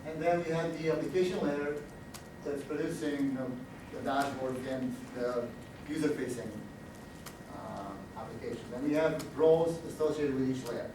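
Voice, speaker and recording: Romanian-accented male presenter, halting, filler-heavy delivery, recorded from afar in a noisy hall. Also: medium pitch, fairly even intonation. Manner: halting, many "uh" fillers, restarts. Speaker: conference speaker. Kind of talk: technical conference talk. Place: large echoey conference room. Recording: echoey room audio, distant mic, background noise.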